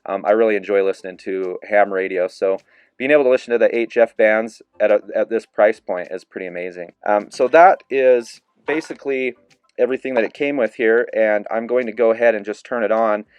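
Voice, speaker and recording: A man reviewing a handheld radio in a relaxed, easygoing way, demonstrating its features as he talks. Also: on the low side, brisk, clear, resonant tone, dry recording, muffled recording. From Idaho. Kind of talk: casual product review.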